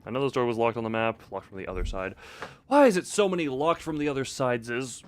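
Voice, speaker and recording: A man's voice: mid-range voice, fast. A man doing casual live gameplay commentary, thinking aloud in a playful, muttering way and repeating phrases to himself. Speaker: game streamer.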